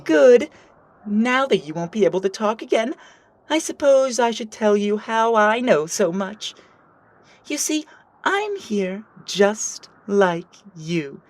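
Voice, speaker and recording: A woman playing a smug, condescending female villain in an animated action story, with theatrical, drawn-out delivery. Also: mid-range voice, no room sound. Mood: smug, gloating. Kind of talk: amateur animated action voice acting.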